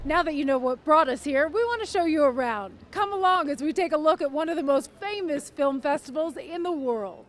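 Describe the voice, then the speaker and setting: A woman TV news reporter giving scripted news narration with a polished, measured delivery. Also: high voice, expressive.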